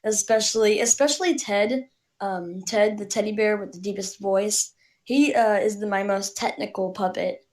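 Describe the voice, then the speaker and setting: Woman puppeteer just chatting, kinda halting and unsure, mid-range voice. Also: mid-range voice, darkish tone. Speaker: puppeteer guest. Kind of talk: casual chat.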